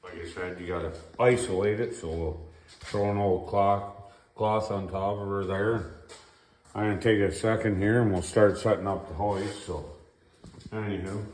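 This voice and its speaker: A man's voice: lowish voice, steady tone, normal speed, a little mellow, echoey room, a little hiss or hum. A middle-aged man with a sore throat hosting a casual garage repair video, rambling and folksy with lots of fillers, filmed by his son. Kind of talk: casual how-to commentary. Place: home garage. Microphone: camera held by his son.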